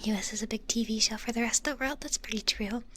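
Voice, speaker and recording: Young vtuber girl doing asmr whispers, kissy noises, kinda high voice. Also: fast talker, a little hiss or hum. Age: young adult. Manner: soft breathy whisper with kiss sounds. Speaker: VTuber streamer. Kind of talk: whispered ASMR livestream, greeting chat.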